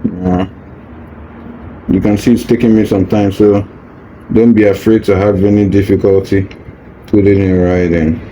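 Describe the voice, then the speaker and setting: A man's voice: sits low, flat delivery, a little slow, pauses often, warm tone, low-quality recording. A man patiently walking through a hands-on unboxing tutorial, casual and reassuring, repeating his steps as he goes. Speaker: product demonstrator. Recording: faint background noise.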